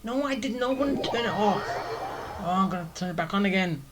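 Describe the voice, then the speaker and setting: A woman's voice: mid-range voice, animated, fairly quick. Blunt woman casually commentating over game music. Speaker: gaming video host. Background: game music behind the voice.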